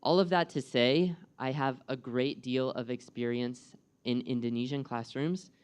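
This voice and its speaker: A man's voice: medium pitch, steady tone, a little slow, clean tone. A young American man giving a live presentation, engaging the audience with questions in a friendly way. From United States. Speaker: guest speaker and program coordinator.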